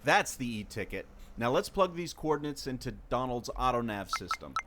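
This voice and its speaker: A man's voice: medium pitch, very animated, normal speed, over music, natural voice. A man acting an earnest, knowledgeable explorer in a scripted audio adventure, with a theatrical, explanatory delivery. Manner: expository, theatrical. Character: earnest male explorer, knowledgeable enthusiast of secret lore.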